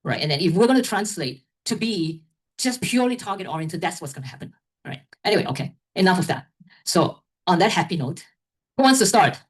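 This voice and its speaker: Low-voiced woman running a q&a, rambly, keeps saying right?. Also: expressive, a little fast, husky. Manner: rambling, tags remarks with "right?". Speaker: event organizer and moderator. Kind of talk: moderating an academic Q&A.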